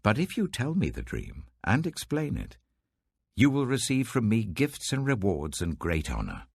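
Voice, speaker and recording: A British man voicing an imperious, threatening ancient king in a scripture audiobook, commanding and deliberate. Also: lowish voice, huge pitch swings, vocal fry, a little mellow, slightly rough, no echo, studio quality, pitched above the performer's own voice, heavily acted. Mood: stern, threatening.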